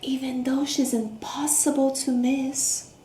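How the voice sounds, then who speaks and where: A woman reading a picture book aloud to children, expressive, with questions, gasps, hums and hushed asides. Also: high voice, slow pace. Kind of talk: interactive children's read-aloud with questions to young listeners. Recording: roomy echo, faint background noise.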